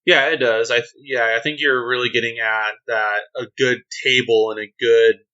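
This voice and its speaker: Bright-voiced man hosting, slightly dull recording. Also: medium pitch, fairly even intonation, moderate pace. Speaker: podcast host. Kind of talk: casual explanatory chat about a tabletop game.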